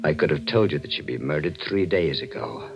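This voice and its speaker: An actor playing a sinister, brooding husband who claims to foresee murders, menacing and deliberate, in a 1940s radio mystery. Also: male voice, a bass voice, animated, gravelly, noisy background, full character voice. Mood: eerie, ominous. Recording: muffled old radio recording with music.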